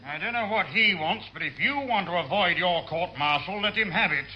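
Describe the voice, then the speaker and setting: An actor playing a blustering, impatient senior British naval commander in a classic radio sitcom. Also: male voice, high voice, brisk, natural voice. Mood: brisk, commanding. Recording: dull old radio recording, studio audience.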